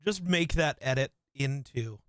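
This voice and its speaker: A man co-hosting a casual podcast, an excitable fan who swears casually. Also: mid-range voice, unhurried, clear, resonant tone, dry recording, studio quality. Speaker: podcast co-host. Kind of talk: casual chat.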